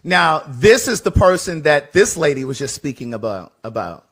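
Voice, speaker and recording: A man on a casual podcast explaining his view earnestly and in a measured way. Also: a little high, very animated, a bit bright, husky. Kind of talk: casual opinion chat.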